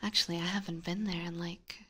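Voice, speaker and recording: A woman with a Californian accent doing playful ASMR chat in a breathy whisper, close to a binaural microphone. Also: on the low side, flat delivery, a little fast, a bit bright. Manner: playful, teasing, giggly. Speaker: ASMR streamer playing a vampire catgirl. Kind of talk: ASMR, casual chat with live viewers. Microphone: close binaural ear microphone.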